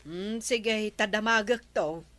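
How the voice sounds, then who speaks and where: A woman's voice: medium pitch, slow pace, pushed and bright. A woman with an Ilocano accent playing a firm, questioning villager in a radio comedy drama. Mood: probing.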